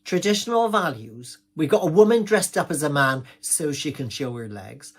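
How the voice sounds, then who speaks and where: A man's voice: fairly high pitch, lively intonation, moderate pace. A man with an English accent performing a gossipy comic monologue, rambling along in an indignant village-gossip manner.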